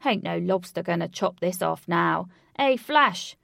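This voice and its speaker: A woman's voice: on the low side, expressive, a little slow, vocal fry, a bit bright, dry recording, clean studio sound, exaggerated voice. A woman voicing a bold, cocky young male fisherman in a storybook, brash and emphatic.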